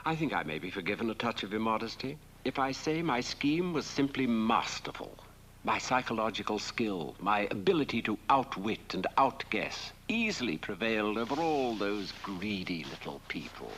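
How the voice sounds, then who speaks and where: A pompous male villain in a campy horror-comedy film, gloating in grand phrases. His low voice rises and falls expressively, over faint soundtrack noise. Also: big pitch swings, a bit bright, low-quality recording. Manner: pompous, grandiloquent, measured oratory. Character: pompous, self-satisfied male criminal schemer, gloating and condescending.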